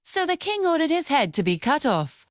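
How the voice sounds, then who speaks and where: A woman narrates a scripted fairy tale. Her voice is high and melodically varied. The sound is dry, with no room around it. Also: very animated, normal speed, dry recording. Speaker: storyteller.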